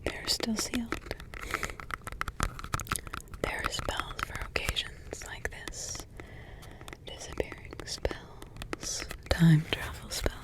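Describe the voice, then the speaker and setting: Whispering ancient witch mother, a woman's voice, slow, pondering, humming between thoughts, wry. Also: mid-range voice, close to an everyday voice, rough recording. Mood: musing. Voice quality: soft whisper throughout. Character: centuries-old witch mother, musing and wry. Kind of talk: ASMR roleplay.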